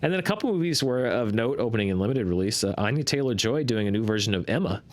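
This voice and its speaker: A man's voice: medium pitch, animated, quick pace. A man hosting a box office show, mixing chart commentary with casual banter.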